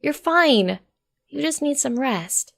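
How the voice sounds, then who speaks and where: A woman's voice: high-pitched, expressive, slow, gravelly, a bit bright, no room sound, clean studio sound, voice pushed up, heavily acted. A woman voicing a concerned young pony mare who coaxes in a weary, exasperated manner. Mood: exasperated coaxing. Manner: coaxing, sighing, exasperated.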